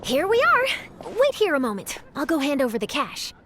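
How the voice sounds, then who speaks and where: A woman playing a perky, eager young female reporter in a video game, talking in a brisk, chatty way. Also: high voice, wildly swooping pitch, fairly bright tone, slight background noise, heavily acted. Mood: upbeat, breezy. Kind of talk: animated video game character acting.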